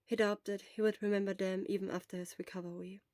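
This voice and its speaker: A woman's voice: mid-range voice, level tone, brisk, darkish tone, no room sound, clean studio sound. A woman reading scripted fiction aloud as the narrator of an audio story. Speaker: podfic narrator.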